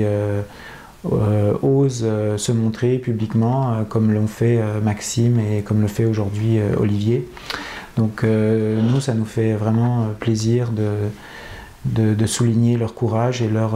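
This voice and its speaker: Mellow-voiced man in an echoey room. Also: mid-range voice. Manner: hesitant, many fillers. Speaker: dating agency presenter. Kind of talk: explanatory talk to viewers.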